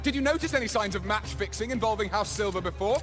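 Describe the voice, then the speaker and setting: A probing male reporter played by a game voice actor with a high, eager voice. Music plays clearly behind him. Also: fast, lightly acted. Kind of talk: English game voice acting.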